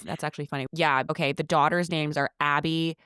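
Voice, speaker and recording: Woman, low pitch, dry close mic, casual podcast chat, chatty movie recap. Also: steady tone, a bit bright, clean tone, no room sound. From United States. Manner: chatty, quick asides, restarts sentences. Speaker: podcast co-host.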